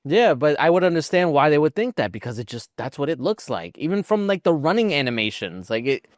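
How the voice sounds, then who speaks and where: A man co-hosting a podcast in a casual chat, giving measured, hedged opinions. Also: on the high side, very animated, dry recording, studio quality. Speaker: podcast co-host.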